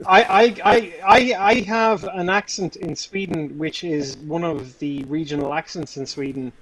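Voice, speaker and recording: A man's voice: a little high, fairly even intonation, a crowd in the background, rough recording. A man with an Irish accent, chatting on a stream and stumbling over his starts. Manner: halting, stumbling restarts. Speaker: guest on a stream. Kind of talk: casual chat.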